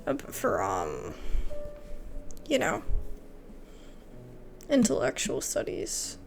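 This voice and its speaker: Gamer girl woman doing casual commentary, swingy expressive voice, lots of fry, bit of background noise. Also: medium pitch, drawn-out words, faint music behind. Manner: expressive, reactive. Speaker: gaming video creator. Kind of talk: casual gameplay commentary, reading dialogue aloud.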